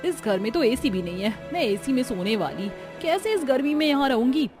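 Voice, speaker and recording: A woman's voice: high-pitched, big pitch swings, brisk, a little mellow, faint music behind. A woman voicing a spoiled young city daughter-in-law in a cartoon story, whining and haughty. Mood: disdainful.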